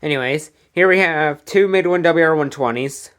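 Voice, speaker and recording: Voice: mid-range voice, normal speed, fairly bright tone. An American speaker with an informal, rambling, matter-of-fact way of talking, showing off a collection in a home video. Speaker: weather radio collector presenting a collection. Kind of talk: casual product showcase vlog. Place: indoor room at home.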